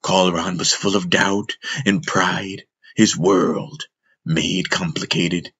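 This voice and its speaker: A man narrating a fantasy audiobook in a measured, solemn, dramatic storytelling style. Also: sits low, fairly even intonation, sharp tone, husky.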